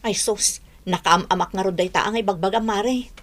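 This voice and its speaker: An actress playing a nervous, sympathetic, gossipy village neighbor, chatty and dramatic in an Ilocano radio drama. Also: female voice, medium pitch, moderate pace, lightly acted. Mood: concerned, gossiping.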